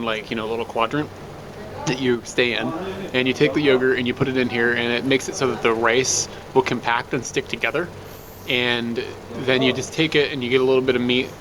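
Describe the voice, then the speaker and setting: A man narrating casually on location, explaining things step by step in a rambling way. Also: mid-range voice. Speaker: travel vlogger. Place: public places while travelling. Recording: noisy on-location travel recording.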